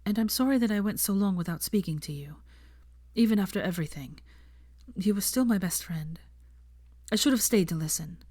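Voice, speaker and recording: A woman's voice: mid-range voice, lively intonation, brisk, warm tone, natural voice. A woman reading the part of an earnest, prim young woman who speaks articulately. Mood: apologetic.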